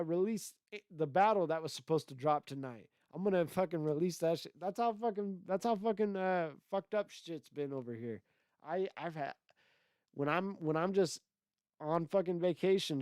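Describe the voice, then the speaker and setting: A man's voice: fairly high pitch, darkish tone, clean tone, no echo, clean studio sound. A man hosting a solo livestream podcast, talking in a loose, rambling way with a lot of swearing, his voice stuffy from congestion. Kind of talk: casual profane livestream rant.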